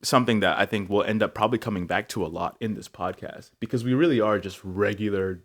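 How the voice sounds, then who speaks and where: A young man from Africa co-hosting a faith podcast, musing aloud in casual chat with lots of fillers and lively leaps in pitch. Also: fast talker. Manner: reflective, many filler likes, animated jumps in pitch. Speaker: podcast co-host.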